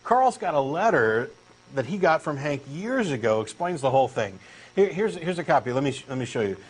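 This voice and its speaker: A man's voice: a little high, sing-song, fairly quick, husky. A man with a Texan accent reading a comic dialogue as an eager, insistent believer. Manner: eager, insistent true believer, earnest and pushy. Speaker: co-host doing a comic dramatic reading.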